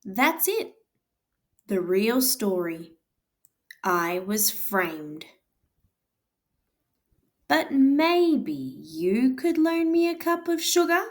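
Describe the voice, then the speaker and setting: A woman's voice: a little high, very animated, pitched above the performer's own voice, full character voice. A woman reading as the wronged wolf. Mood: aggrieved. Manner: storybook read-aloud, slow drawn-out words. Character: male wolf, self-pitying, claims innocence. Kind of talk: storybook narration. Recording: guitar music behind the voice.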